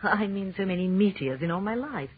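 An actress playing an affectionate, playful wife and sculptor in a 1930s radio drama. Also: female voice, medium pitch, expressive, brisk, husky. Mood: awed, excited. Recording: muffled, noisy 1930s radio recording.

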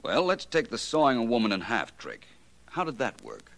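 An American actor playing a shrewd, self-assured gentleman detective in a 1940s radio mystery, suave, deliberate and theatrical. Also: medium pitch, very animated. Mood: smooth, probing.

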